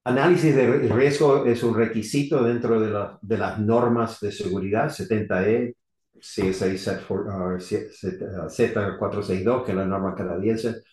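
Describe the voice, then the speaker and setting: A middle-aged man from Argentina, now living in the US, giving an unscripted technical talk on electrical safety in halting, rusty Spanish full of restarts and fillers. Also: on the low side, steady tone, darkish tone. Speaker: electrical safety engineer presenting. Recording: echoey room.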